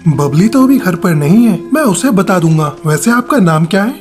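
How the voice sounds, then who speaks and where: A woman voicing a gentle, sincere adult male bird in a children's cartoon story. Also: lowish voice, animated, moderate pace, soft background music, close to an everyday voice. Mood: courteous. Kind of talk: Hindi children's moral-story cartoon dubbing.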